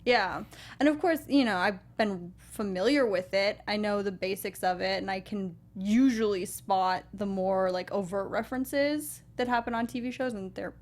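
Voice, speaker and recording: A young woman co-hosting a casual movie review show, candid and quick to laugh. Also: medium pitch. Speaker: podcast co-host. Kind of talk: casual film review chat.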